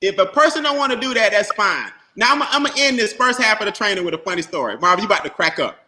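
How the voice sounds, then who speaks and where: Guy on a video call telling a wild story, real high bright voice, echoey room. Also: fast. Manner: animated, loud, laughing storyteller with slang. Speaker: host and trainer. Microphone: webcam or laptop mic on video call. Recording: echoey room, faint noise.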